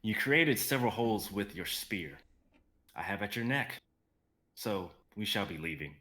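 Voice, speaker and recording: A man playing a defiant, cool-headed hero who taunts calmly in a dramatic reading. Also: lowish voice, moderate pace. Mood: coolly defiant.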